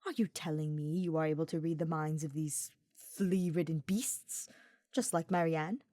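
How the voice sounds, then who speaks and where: A woman voicing a formal, sardonic male dark mage with a wry, grumbling manner in a story reading. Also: low-pitched, steady tone, moderate pace, no echo, studio quality, natural voice.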